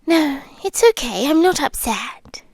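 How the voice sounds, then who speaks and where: British woman doing a creepy sweet vampire girlfriend asmr, british, high whispery voice, coaxing, super close dry mic. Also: lively intonation, darkish tone, no room sound. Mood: softly probing. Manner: slow, breathy whispering with pauses, coaxing. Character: sweetly menacing possessive yandere vampire girlfriend. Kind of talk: ASMR roleplay. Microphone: close microphone, dry.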